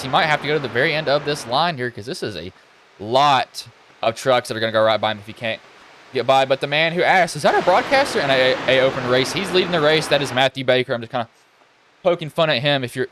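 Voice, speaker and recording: Casual young man calling sim races. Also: slight background noise. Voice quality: slightly deep voice. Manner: casual, rambling, excitable at crashes. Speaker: race commentator. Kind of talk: live sim racing play-by-play.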